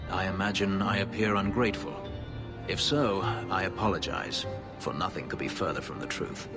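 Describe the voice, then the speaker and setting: Brief: a brooding elven ex-slave warrior, very low and grave, formal and clipped, with game music underneath. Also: male voice, normal speed. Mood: bitter. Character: brooding male elf warrior, escaped slave. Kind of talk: video game dialogue. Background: game score.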